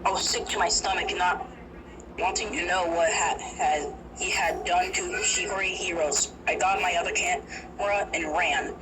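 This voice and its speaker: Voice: medium pitch, steady tone, normal speed. A narrator telling a first-person horror story, hesitant and prone to restarts. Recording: noisy recording.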